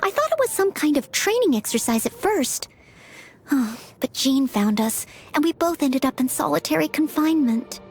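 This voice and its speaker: Game casting: devoted teenage maid, polite and eager, high lilting voice with lively swings, music underneath. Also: female voice, normal speed. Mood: admiring, eager. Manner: polite, earnest, eager to please. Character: earnest, devoted young maid and aspiring knight, teenage girl. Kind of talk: video game character voice lines. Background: background music.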